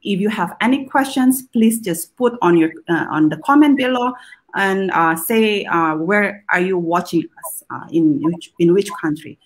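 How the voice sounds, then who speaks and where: A woman hosting a live video talk, speaking casually with many pauses and fillers. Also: on the low side. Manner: hesitant, many ums and uhs. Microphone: video call.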